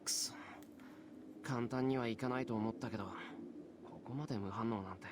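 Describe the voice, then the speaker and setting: A man's voice: mid-range voice, steady tone, fast talker, background noise, lightly acted. A male actor playing a determined, frustrated young man in a visual novel. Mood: frustrated, muttering. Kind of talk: Japanese visual novel voice acting.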